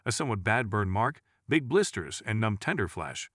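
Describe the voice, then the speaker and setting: Man, low-pitched voice, scripted first-person storytelling narration, close dry sound. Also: big pitch swings, normal speed, fairly bright tone, no room sound.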